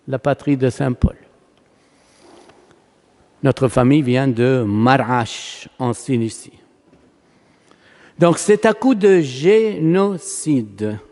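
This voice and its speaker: Palestinian guy priest giving a sermon, arabic accent, slow n emphatic, big church reverb. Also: medium pitch, expressive, dark tone. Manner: oratorical, emphatic, with rhetorical questions and pauses. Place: large church, preaching to a congregation. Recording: long reverberant church hall echo.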